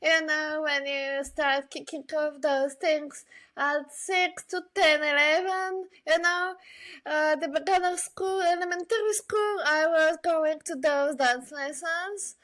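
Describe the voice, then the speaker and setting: Very high-pitched woman, slow and chatty. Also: fairly even intonation, fairly bright tone. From Bulgaria. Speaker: podcast guest, illustrator and digital artist. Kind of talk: casual interview chat.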